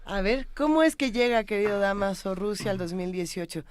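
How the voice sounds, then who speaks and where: A woman's voice: mid-range voice, lively intonation, fairly quick, slight background noise. A woman with a Mexican accent reading news and asking questions as a television co-host. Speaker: TV magazine show co-host. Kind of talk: news intro and interviewing.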